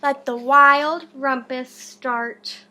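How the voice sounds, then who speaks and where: A woman's voice: high voice, fairly even intonation, extremely slow, sharp tone, full character voice. A woman voicing a defiant, commanding little boy from a storybook, in a bold, emphatic way.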